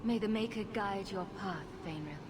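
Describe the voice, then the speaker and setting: A woman's voice: mid-range voice, normal speed, noisy background, lightly acted. A woman playing a sarcastic young rogue heroine in a video game, with a wry, dry delivery. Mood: earnest. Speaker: player character. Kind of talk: video game cutscene acting. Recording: music behind the voice.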